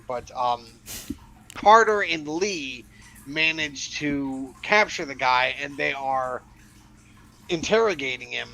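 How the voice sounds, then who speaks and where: Give me a rambling podcast co-host, a man with a fairly high, gravelly voice. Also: sharp tone, poor audio. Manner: rambling, lots of filler and restarts, swearing. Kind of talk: casual chat.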